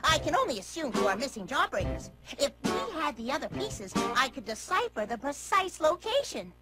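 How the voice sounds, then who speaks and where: High-pitched, prim bookish boy. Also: sing-song, brisk. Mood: precise, earnest. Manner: precise, wordy, fretful. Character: prim, bookish, fussy, anxious young boy. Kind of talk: cartoon video game voice acting. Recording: loud music behind the voice. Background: music.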